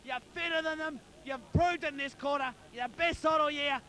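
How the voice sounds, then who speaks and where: Man, Australian accent, very high pitch, bright forceful tone, voice giving out, excited partisan footy commentary. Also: fairly quick. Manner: excited, urging players on, colloquial. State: losing his voice from shouting. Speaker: amateur football co-commentator. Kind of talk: partisan live sports commentary.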